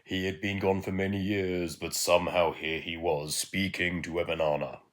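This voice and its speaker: A man reading a children's story aloud in a measured, expressive way with dramatic pauses. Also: deep voice. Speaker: teacher reading a bedtime story.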